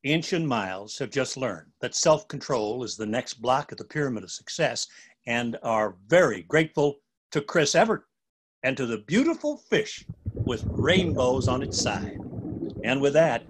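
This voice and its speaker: Animated, husky male children's storyteller. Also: mid-range voice, unhurried. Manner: playful, expressive. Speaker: storybook narrator.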